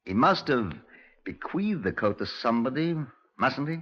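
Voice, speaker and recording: Casting: British detective inspector, dry and authoritative, very varied intonation, dull vintage radio crime recording. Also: male voice, medium pitch, big pitch swings, moderate pace. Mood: brisk, curious. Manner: clipped, polite, dryly authoritative. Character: dry, firm male Scotland Yard police inspector. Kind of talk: 1950s radio crime drama acting. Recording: muffled 1950s radio recording.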